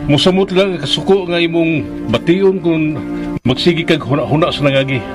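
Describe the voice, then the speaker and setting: A patient, firm father in a radio drama, played by a man. He reasons earnestly in a slightly high voice. Music is clearly heard behind him. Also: fairly quick, lightly acted. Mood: earnest, pleading. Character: patient, firm father counselling his son.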